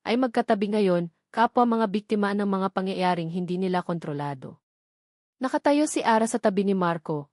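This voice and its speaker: A woman's voice: medium pitch, darkish tone, dry recording. Woman narrating a dramatic story, dry sound.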